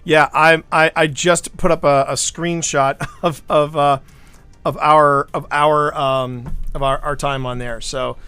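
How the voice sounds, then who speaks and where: A man's voice: fairly high pitch, flat delivery, slow, pushed and bright, smooth, soft background music. Rambling male stream host. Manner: rambling storyteller, repeats words often. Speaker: livestream host. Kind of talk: casual chat.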